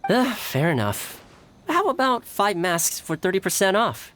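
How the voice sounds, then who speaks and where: A woman's voice: mid-range voice, very animated, unhurried, fairly bright tone. A woman playing an insistent, haggling festival shopper in a video game. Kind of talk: video game character acting.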